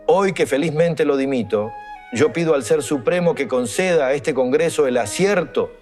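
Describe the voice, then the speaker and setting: An actor playing a weary, ailing yet resolute general and liberator in a historical audio drama, speaking in a solemn, formal way. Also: male voice, medium pitch, a little mellow, natural voice. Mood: solemn, oratorical.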